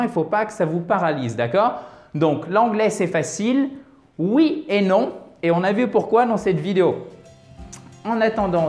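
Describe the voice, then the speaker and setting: A French man teaching English online, his voice sitting a little higher than most men's. He talks in a chatty way, walking through examples one step at a time. He keeps checking in with quick little "ok?" asides. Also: a little mellow, echoey room. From France. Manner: chatty, checks in often with "ok?" and "d'accord?". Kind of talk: online language lesson.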